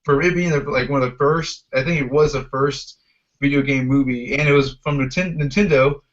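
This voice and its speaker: A man in his thirties with a mid-pitched voice hosts a casual podcast chat. He rambles along conversationally and swears freely. The audio is muffled and lo-fi. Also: fairly quick. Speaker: podcast host. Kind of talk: casual chat about video game movies.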